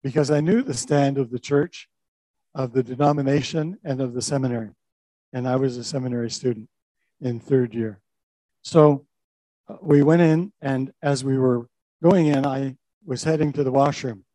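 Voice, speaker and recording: An older man, a pastor, recounts his own story in a warm, mellow voice. He talks reflectively, with small restarts along the way. The sound is close and dry. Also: mid-range voice, moderate pace, dry recording, rough recording. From Canada. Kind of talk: personal testimony talk.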